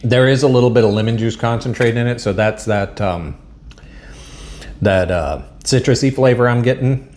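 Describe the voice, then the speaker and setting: A man's voice: mid-range voice, moderate pace, a little mellow, slight background noise. A man with a Southern US accent, chatting casually and rambling with plenty of ums while reviewing food to camera. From Southern United States. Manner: rambling, chatty, self-deprecating, frequent um and uh. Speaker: MRE taste-test vlogger. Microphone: mic set up at a table.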